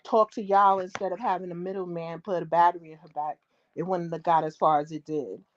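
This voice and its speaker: An American woman speaking casually in an online group call, explaining herself earnestly and haltingly. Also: on the low side, steady tone, dry recording. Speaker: participant in a group live stream. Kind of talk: casual chat. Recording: dull lo-fi live-stream audio.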